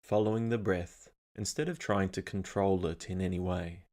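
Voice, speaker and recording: A man leading a scripted guided meditation in a calm, measured, instructional manner. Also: sits low.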